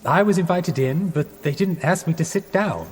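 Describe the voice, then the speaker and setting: Bumbling, lively posh young Englishman. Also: fairly high pitch, moderate pace, slightly rough. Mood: mild, bemused. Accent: upper-class English. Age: young adult. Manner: bumbling, hesitant, mild-mannered. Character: genial, dim, polite upper-class English young man, 1920s. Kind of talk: radio theatre acting. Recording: long echoing reverb, music underneath.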